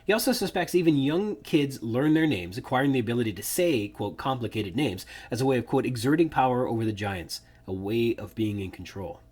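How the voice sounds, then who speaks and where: A man's voice: medium pitch, animated, a little fast, hardly any pauses, a little mellow. A man hosting a solo podcast, musing thoughtfully over a novel and picking apart details. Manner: thoughtful, musing, nitpicky asides. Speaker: podcast host. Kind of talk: solo book-discussion podcast.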